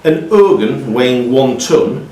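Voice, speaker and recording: A man's voice: medium pitch, expressive, slow pace, recorded from a distance. A man working as a quiz master, reading out questions and ruling on answers. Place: pub. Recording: roomy, echoing pub with music behind.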